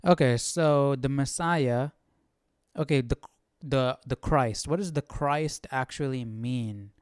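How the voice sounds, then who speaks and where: A man's voice: medium pitch, slow pace, clean tone, dry recording. A man hosting a livestream study, thinking aloud in a casual, questioning way. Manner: questioning, musing. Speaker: host of Bible study livestream.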